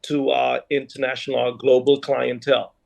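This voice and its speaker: Gravelly Bahamian man. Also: mid-range voice, level tone, moderate pace. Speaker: guest, leader of a Junkanoo group. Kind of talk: conversational interview.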